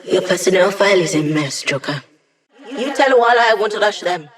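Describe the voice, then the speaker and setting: Video game mission-support hacker, female, young: wry, conversational, quick with quips; voiced by a woman. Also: medium pitch, expressive, lightly acted. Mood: wry, playful.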